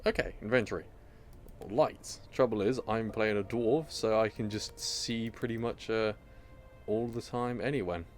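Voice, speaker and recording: A man's voice: on the low side, moderate pace, soft background music. A man giving casual gameplay commentary as he plays, rambling and wry. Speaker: gamer, channel host.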